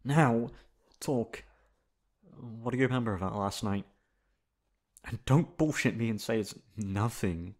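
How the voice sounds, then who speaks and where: A male voice actor plays a young man in love with his best friend in an intimate ASMR drama. He talks slowly with a gravelly creak, shifting between hesitant and bossy, impatient and blunt. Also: lowish voice, darkish tone. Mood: demanding. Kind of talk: acted ASMR roleplay.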